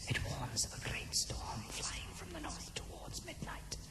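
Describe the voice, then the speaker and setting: A man voicing a grave old fey lord in a fantasy audio drama, slow and solemn, in a breathy whisper. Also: medium pitch, wildly swooping pitch, voice pushed down. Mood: grave warning.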